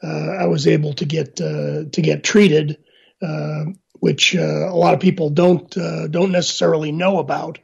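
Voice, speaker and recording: A middle-aged man, hoarse after illness, answering interview questions in a halting way with many fillers and restarts. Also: fairly high pitch, flat delivery, darkish tone. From Northern Virginia, USA. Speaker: guest. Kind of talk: casual interview talk. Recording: dull lo-fi line.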